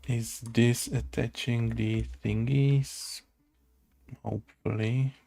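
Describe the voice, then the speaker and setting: A man talks himself through a coding screencast at an extremely slow pace. His voice is heavily creaky and on the mellow side. He sounds unsure, muttering in short, broken fragments. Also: medium pitch, fairly even intonation. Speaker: game development tutorial host. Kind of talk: casual think-aloud coding screencast.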